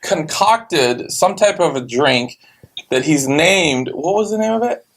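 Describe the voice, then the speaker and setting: A man's voice: fairly high pitch, animated, unhurried, a bit bright, a little hiss or hum. A man hosting a TV talk show, chatting casually and rambling with lots of fillers. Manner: rambling, hesitant, enthusiastic. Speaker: show host. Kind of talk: casual chat. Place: TV studio.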